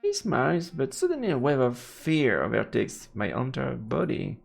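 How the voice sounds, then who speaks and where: A man's voice: mid-range voice, wildly swooping pitch, moderate pace, gravelly, faint music behind. A man live-streaming a game, reading its narration aloud and adding murmured, playful asides. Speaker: let's play streamer.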